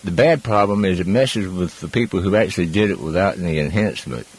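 A man hosting a call-in radio show. His voice sits very low. He chats with callers in a relaxed, rambling way and often trails off or restarts his sentences. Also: quick pace, breathy edge, rough recording. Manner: easygoing, rambling. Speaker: radio host.

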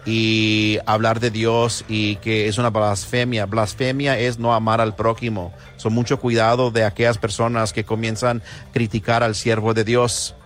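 A man's voice: lowish voice, level tone, normal speed, soft background music. A man from Honduras, a pastor, speaking firmly. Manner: firm, preaching tone. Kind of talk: clip of a sermon-like statement.

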